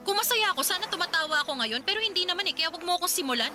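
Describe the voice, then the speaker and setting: A woman's voice: extremely high pitch, animated, racing, pushed and bright, faint music behind, exaggerated voice. An actress playing a blunt, sarcastic Filipino older sister who scolds her brother in a radio drama. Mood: exasperated. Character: blunt, exasperated older sister of a grown man.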